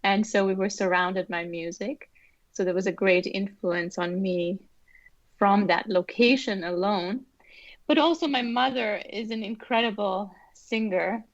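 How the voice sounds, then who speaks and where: A woman's voice: medium pitch, a little slow, no echo. A middle-aged woman from Austria, a guest answering interview questions thoughtfully with light laughs. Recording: dull lo-fi sound, dry.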